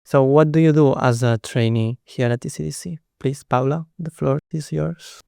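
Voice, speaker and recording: A young man hosting a podcast, giving a measured, scripted introduction and steering the conversation. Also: mid-range voice, steady tone, vocal fry, mellow, smooth, no echo, studio quality. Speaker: podcast host. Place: studio at an office headquarters.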